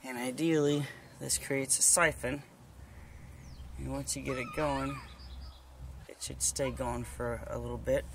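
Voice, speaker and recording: Male DIY mechanic, casual and unhurried how-to delivery, plain-spoken, outdoor camera audio with faint background noise. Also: medium pitch, level tone, creaky. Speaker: DIY mechanic demonstrating a repair. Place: outdoors in a driveway beside a jet ski.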